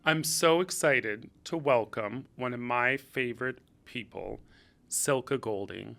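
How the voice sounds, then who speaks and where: Gravelly-voiced upbeat male podcast host. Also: mid-range voice, slow pace, sharp tone. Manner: upbeat and eager. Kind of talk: podcast interview hosting.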